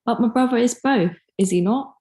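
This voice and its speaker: A woman playing a friendly, admiring younger sister of a gentleman in a period drama reading, eager and gracious. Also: on the high side, fairly quick, lightly acted. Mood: eager warmth.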